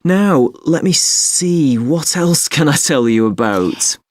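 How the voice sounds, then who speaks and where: Male actor as patient festival information clerk, polite, unhurried delivery, very dry studio sound. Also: fairly high pitch, expressive, dry recording. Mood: helpful, polite. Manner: measured, helpful, spells words out slowly. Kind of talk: acted listening-test dialogue, clearly enunciated.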